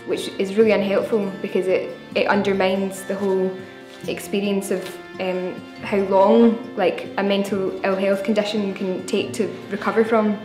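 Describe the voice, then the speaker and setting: A woman's voice: mid-range voice, flat delivery, normal speed, few pauses. Woman in her twenties, reflective interviewee, level delivery, roomy room with music bed. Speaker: young volunteer. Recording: roomy sound over soft music.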